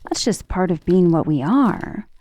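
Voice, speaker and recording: A woman voicing an ancient, tender and protective vampire lover in an intimate, breathy romantic ASMR roleplay, with genteel wording and a vulnerable air. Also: lowish voice, very animated, a little slow, warm tone, no room sound. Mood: measured, uneasy. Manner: intimate, breathy half-whispers with sighs.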